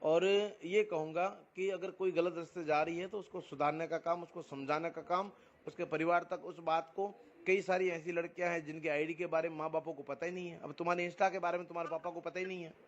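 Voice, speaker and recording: A man's voice: fairly high pitch, steady tone, fairly quick, a little hiss or hum. A man with a Rajasthani accent arguing on a phone call, explaining insistently and repeating his phrases. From Rajasthan, India. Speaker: community activist on a phone call. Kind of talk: argumentative phone conversation. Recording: muffled lo-fi phone call recording.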